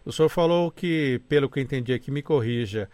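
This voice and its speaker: A man's voice: mid-range voice, fairly quick, darkish tone, no echo. A man hosting an interview, asking polite, formal questions.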